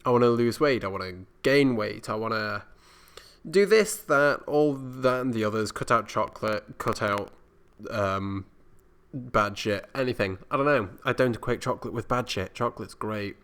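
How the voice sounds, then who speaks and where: A young man records a casual solo audio diary in a middle-pitched voice. He rambles along informally and self-deprecatingly, swearing a little as he goes. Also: animated, moderate pace, a bit bright, smooth. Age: young adult. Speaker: vlogger. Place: indoor university communal area at night.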